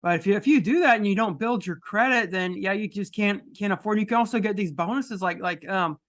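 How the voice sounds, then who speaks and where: A woman with a deep voice hosting a podcast, chatting casually and rambling with many restarts. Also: a little fast. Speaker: podcast host.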